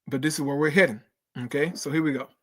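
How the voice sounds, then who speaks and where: A man's voice: fairly high pitch, steady tone, fast. A man hosting and giving casual preaching commentary, emphatic, calling listeners bro and brothers and sisters and checking in with right and okay. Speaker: host.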